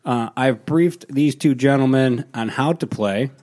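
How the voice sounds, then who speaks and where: A man's voice: mid-range voice, unhurried, a little mellow. A man co-hosting a casual podcast and leading a tabletop role-playing game, upbeat and guiding. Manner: upbeat, guiding, laughs easily. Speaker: co-host and game master.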